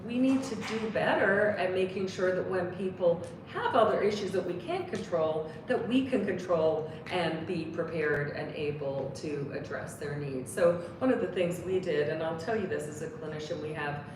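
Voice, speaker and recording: A woman's voice: lowish voice, normal speed, hardly any pauses. A woman from Canada, a clinician on a panel, explaining earnestly and asking many rhetorical questions. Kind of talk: panel discussion answer. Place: briefing room. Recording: echoey room, distant mic, background noise.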